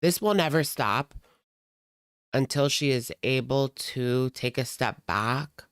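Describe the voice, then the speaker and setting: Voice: medium pitch, takes it slowly, no room sound, studio quality. A streamer giving casual live commentary in a blunt, sarcastic, conversational way. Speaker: reaction streamer.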